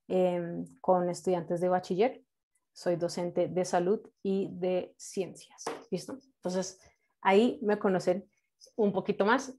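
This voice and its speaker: A young woman with a Colombian accent, a native English speaker, teaches an online class informally, encouraging her students in a halting way with frequent check-in questions. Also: on the low side, mellow. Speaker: medical English teacher and nurse. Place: indoors, on a video call. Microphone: video call microphone.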